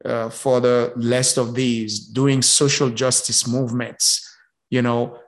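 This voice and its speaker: Slow, halting man. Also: medium pitch, flat delivery. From Haiti. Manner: slow, halting, many repeated words and fillers. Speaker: guest, business professor. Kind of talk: casual interview talk.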